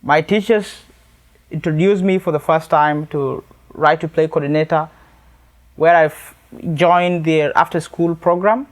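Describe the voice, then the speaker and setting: Higher-pitched young South Sudanese man, earnest speech. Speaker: youth activist and charity event speaker. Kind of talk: prepared personal testimony speech.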